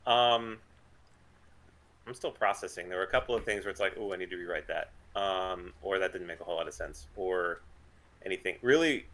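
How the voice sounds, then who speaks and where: Low-voiced man hosting casually. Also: normal speed, fairly bright tone. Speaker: host and script writer. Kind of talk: casual chat. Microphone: group online call.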